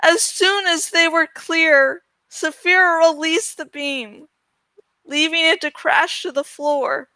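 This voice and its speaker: High-voiced woman reading a story aloud. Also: steady tone, slow pace, bright, forceful tone, no room sound. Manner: self-deprecating, stumbles and jokes about slips. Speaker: amateur audiobook reader. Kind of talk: dramatic storybook narration with self-mocking asides.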